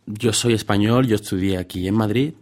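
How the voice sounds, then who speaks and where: A man from Seville in his thirties, a guest researcher answering interview questions thoughtfully, pausing and restarting as he thinks. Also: lowish voice, darkish tone. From Spain, Seville. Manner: hesitant, many fillers and restarts while thinking aloud.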